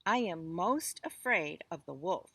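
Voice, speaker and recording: Woman reading as an earnest, slow-speaking father. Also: on the low side, animated, vocal fry, bright, forceful tone, husky, no room sound, heavily acted. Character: calm father confiding his fears to son.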